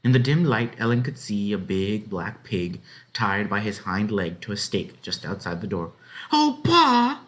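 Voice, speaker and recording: A man reading a children's story aloud as an expressive narrator. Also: fairly low pitch, normal speed, hardly any pauses.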